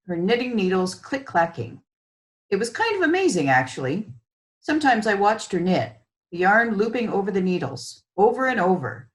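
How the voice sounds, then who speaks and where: Expressive woman reading a children's story. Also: medium pitch, low-quality recording. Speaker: children's book author reading aloud.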